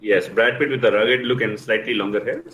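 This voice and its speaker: A man's voice: fairly low pitch, fairly even intonation, fairly quick, a bit bright. A man talking casually as a panelist in a group chat. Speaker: podcast panelist. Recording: noisy, background room ambience.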